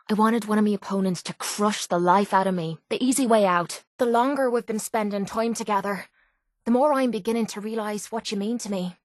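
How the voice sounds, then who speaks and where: An actress voicing a tough, guarded but loyal young Irish pit fighter in a video game, speaking bluntly and colloquially. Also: female voice, medium pitch, dry recording, clean studio sound, lightly acted. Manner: blunt, rough-edged, colloquial. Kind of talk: video game companion dialogue.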